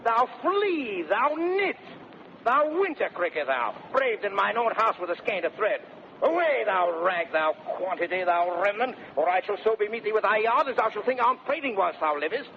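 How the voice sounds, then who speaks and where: An actor playing a mocking, domineering husband in theatrical 1930s British radio Shakespeare, declaiming with a British accent and moving between coaxing and bellowing. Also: male voice, high voice, very animated, moderate pace, vocal fry, exaggerated voice. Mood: raging. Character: domineering, mocking husband, swaggering and mercurial suitor. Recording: muffled, noisy vintage 1930s radio broadcast.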